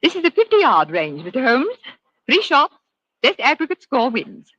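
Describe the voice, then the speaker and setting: An actress playing a brisk, self-assured, scheming lady villain with a haughty, theatrical manner in a 1940s radio drama. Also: high voice, wildly swooping pitch, fairly bright tone, slightly rough, dry recording, heavily acted. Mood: brisk confidence. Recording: muffled old radio broadcast.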